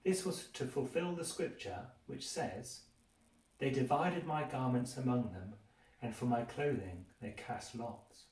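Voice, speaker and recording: A man reads scripture from some way off the microphone in a very echoey room. His delivery is dramatic and emphatic, lifting into raised quotations from a medium-pitched base. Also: measured pace, darkish tone, distant mic. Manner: emphatic, raises voice on quoted speech. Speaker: scripture reader.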